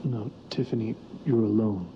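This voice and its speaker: An actor playing a calm, controlling male hypnotherapist giving measured, deliberate instructions in an analog horror piece. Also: on the low side, noisy background, close to an everyday voice. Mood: firm, controlled. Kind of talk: slow guided-hypnosis narration in analog horror. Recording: dull, lo-fi horror video audio. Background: loud eerie music.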